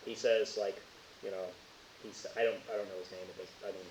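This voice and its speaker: A man speaking casually as a game master, narrating haltingly and often searching for words. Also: lowish voice, little pitch movement, darkish tone. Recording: roomy echo, dull lo-fi sound, faint hiss.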